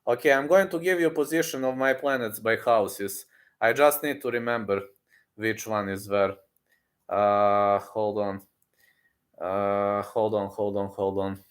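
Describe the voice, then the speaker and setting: Halting man chatting on a livestream. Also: medium pitch. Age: 30s. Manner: halting, repetitive, thinking aloud. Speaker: livestream host answering viewer comments. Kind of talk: casual unscripted livestream chat.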